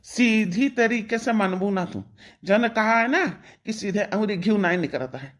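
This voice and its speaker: A woman's voice: medium pitch, unhurried, lightly acted. A woman voicing an angry, bullying village husband in a cartoon, with an Awadhi accent and a curt, threatening manner. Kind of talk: melodramatic cartoon dialogue.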